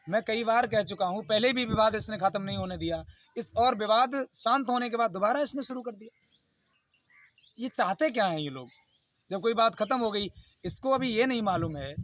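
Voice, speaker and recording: High-pitched, insistent man. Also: a bit bright. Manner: aggrieved, insistent, repetitive pleading. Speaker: YouTube channel host. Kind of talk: unscripted video statement responding to a dispute.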